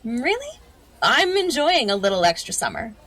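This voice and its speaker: High-voiced, eager young hotel clerk. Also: female voice, big pitch swings, moderate pace, a bit bright. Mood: cheerful. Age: young adult. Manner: polite, eager, slightly girlish. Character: cheerful, deferential young hotel desk clerk. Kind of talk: period audio drama acting.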